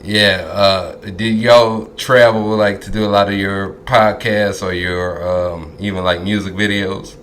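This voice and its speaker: Deep, flat-voiced man hosting. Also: slight background noise. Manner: easygoing, drawn-out with filler pauses. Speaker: podcast host. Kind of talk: casual interview chat. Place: Dallas.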